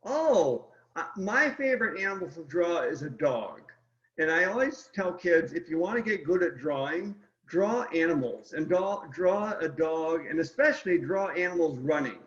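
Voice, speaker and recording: A man's voice: a little high, animated, moderate pace, a bit bright. A man, an illustrator being interviewed, explains his ideas step by step in casual talk, speaking straight to his listeners. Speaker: picture book illustrator, guest. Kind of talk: casual explanatory chat about art. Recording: roomy echo, slightly dull lo-fi sound.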